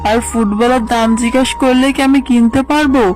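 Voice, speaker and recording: A woman's voice: a little high, fairly even intonation, fairly quick, a little mellow, faint music behind, close to an everyday voice. A woman voicing a poor, weary but caring fox mother in a cartoon, plaintive and motherly. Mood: anxious, pleading. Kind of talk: cartoon dubbing.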